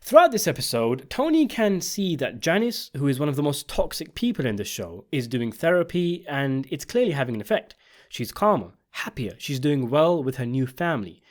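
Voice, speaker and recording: A man's voice: on the high side, big pitch swings, normal speed. A man delivering scripted video essay commentary on a TV series, in an emphatic, opinionated way. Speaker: video essayist and channel host.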